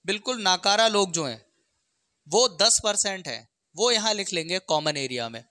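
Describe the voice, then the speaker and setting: A maths teacher takes learners through exam problems one step at a time. The voice is bright and sharp, recorded dry with little room sound. Short questions punctuate the explanation to check that listeners follow. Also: mid-range voice, animated, normal speed, no echo.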